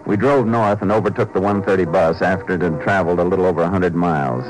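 A terse, steady lawman acted by a man with a deep, low voice, straight out of western radio. He is clipped and matter-of-fact on an old fifties broadcast recording. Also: fast talker, over music, close to an everyday voice, rough recording. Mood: measured narration. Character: steady, terse Texas Ranger lawman, narrating his own cases. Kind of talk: 1950s western radio drama acting and narration.

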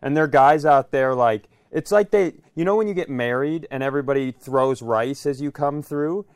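A man's voice: medium pitch, normal speed, clear, resonant tone, no room sound, clean studio sound. A man co-hosting a podcast, telling stories casually with wry exaggeration. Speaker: podcast co-host. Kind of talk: casual comedic storytelling.

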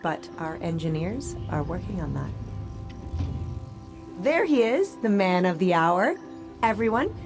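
A woman's voice: mid-range voice, natural voice. A woman playing an upbeat, welcoming holographic AI assistant leading a student tour in an audio drama. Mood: bright, rallying. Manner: polished, welcoming tour-guide delivery. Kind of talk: audio drama acting, cheerful presentation.

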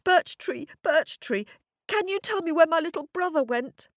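A woman's voice: very high-pitched, expressive, normal speed, no room sound, studio quality, voice pushed up. A woman voicing a headstrong, impatient young girl in a storybook, with an insistent manner. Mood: pleading. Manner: insistent, foot-stamping.

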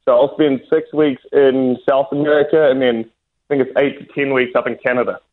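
A man's voice: mid-range voice, level tone, normal speed. A young man from New Zealand with a New Zealand accent, a golfer answering interview questions casually, with many fillers and restarts. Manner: hesitant, many fillers and restarts, laughs easily. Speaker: professional golfer, guest. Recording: tinny phone line.

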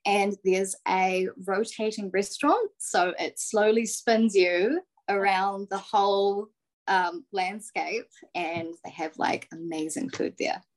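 A woman's voice: mid-range voice, fairly even intonation, slow pace, low-quality recording. A woman with a New Zealand accent, a podcast guest chatting casually from her phone on a remote call. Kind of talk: casual chat.

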